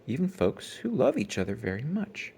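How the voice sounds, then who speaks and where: A man's voice: fairly high pitch, huge pitch swings, normal speed, gravelly, darkish tone, husky, pitched above the performer's own voice, heavily acted. A man voicing a mother bear in a children's storybook, stern and firm as she explains things. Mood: firm, reasoning.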